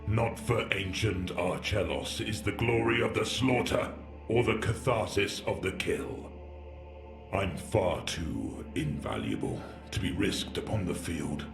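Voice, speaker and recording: A man playing an ancient, bitter warrior entombed in a war machine, speaking in grand, archaic, rambling monologue for a sci-fi audio drama. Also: deep voice. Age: older. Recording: echoing voice over background music.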